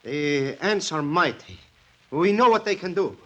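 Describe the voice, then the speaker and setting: A man acting a humble, loyal plantation worker, solemn and halting, in 1940s American radio drama style. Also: a little high, animated, a bit bright, highs cut off. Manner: halting, solemn, simple phrasing.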